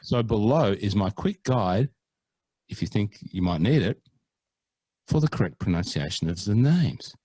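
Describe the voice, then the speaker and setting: A man co-hosting a golf podcast, chatting casually in a lowish voice. He is playful and mock-earnest and hams up foreign pronunciations. The sound is dry and close. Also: animated, measured pace, dark tone, breathy edge, dry recording. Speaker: podcast co-host. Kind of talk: casual chat.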